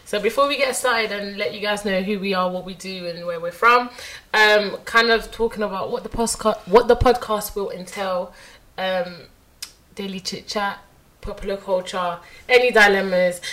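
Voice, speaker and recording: A woman's voice: mid-range voice, fairly even intonation, normal speed, fairly bright tone, rough recording. A woman, hosting a casual podcast chat, chatty and rambling with fillers and frequent laughs. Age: 20s. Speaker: podcast host.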